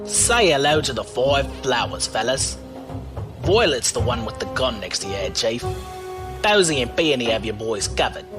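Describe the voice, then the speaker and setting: Man playing a cocky rogue, lively pitch. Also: moderate pace, close to an everyday voice. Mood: cocky, taunting. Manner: breezy, teasing, folksy slang. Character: cocky roguish male driver-mercenary, wily and unflappable. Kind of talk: audio drama acting. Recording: music behind the voice.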